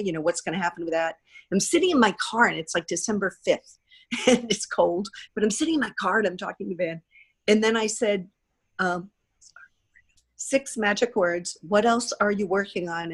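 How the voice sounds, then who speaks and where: A woman speaking as a podcast guest in a casual interview, telling anecdotes in a chatty way and acting out remarks. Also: lowish voice. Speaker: podcast guest, theatre producer. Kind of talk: animated storytelling in casual interview.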